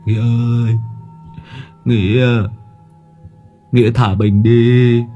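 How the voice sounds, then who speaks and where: A man's voice: mid-range voice, level tone, takes it slowly, mellow, lo-fi sound, soft background music, natural voice. A man voicing a mentally disturbed young man in an audio horror story, pleading childishly and repetitively. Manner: repetitive, childlike begging in third person.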